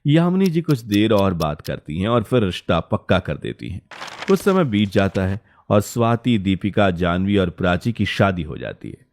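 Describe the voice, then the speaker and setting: A man's voice: deep voice, sing-song, normal speed. A man narrating a scripted family story as a storyteller.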